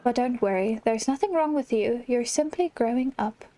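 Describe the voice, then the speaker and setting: A woman's voice: fairly high pitch, moderate pace, dark tone, close to an everyday voice. A woman voicing a manipulative villainess who coaxes a young protégée in a theatrical, condescending manner, as in a game story. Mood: falsely reassuring.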